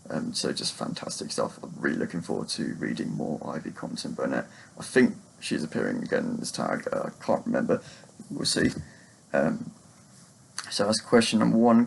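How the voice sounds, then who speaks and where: A man with a gravelly, husky voice, chatting casually about books in a rambling, hesitant, self-deprecating way. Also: medium pitch, poor audio. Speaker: book vlogger.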